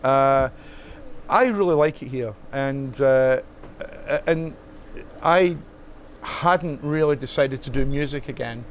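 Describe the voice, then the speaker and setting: A middle-aged man with a Scottish accent, talking as a guest in a club. He speaks haltingly, with many fillers and restarts. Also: medium pitch, a little hiss or hum. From Scotland. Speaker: interview guest, musician. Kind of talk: casual chat.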